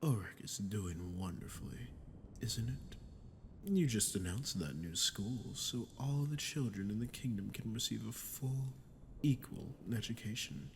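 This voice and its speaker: A man playing a sadistic, smug archdemon in an ASMR roleplay, talking down to the listener with slow, mocking condescension. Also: fairly low pitch, lively intonation, slight background noise. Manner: mocking, condescending, slow taunting delivery with breathy drops. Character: sadistic, smug male archdemon toying with a captive ruler. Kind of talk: ASMR audio roleplay, dramatic monologue to the listener.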